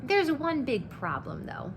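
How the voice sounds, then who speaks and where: Woman reader as a curious, frank little girl, raised pitch, questioning, audible background noise. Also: huge pitch swings, unhurried, exaggerated voice.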